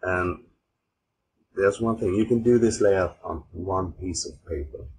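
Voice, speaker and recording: A man from Sweden with a Swedish accent, giving an informal software tutorial in simple, halting non-native phrasing. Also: sits low, rough recording. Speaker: tutorial host. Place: voice-over recorded at an old computer. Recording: steady clear background noise, mouse clicks.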